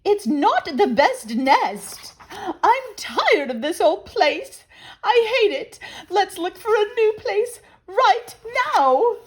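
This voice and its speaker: A fussy little wife bird from a picture book, put on by a woman reader. The voice is very high and swoops up and down, emphatic and bossy. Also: sing-song, slow pace, full character voice. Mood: fed up. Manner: complaining, emphatic. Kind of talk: storybook character voice.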